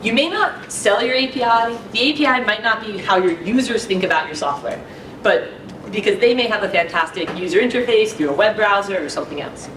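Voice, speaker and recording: A woman in her thirties giving an energetic, conversational technical talk to a small audience in an indoor conference room, speaking into a handheld mic. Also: medium pitch, brisk, noisy background. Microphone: handheld mic, far camera.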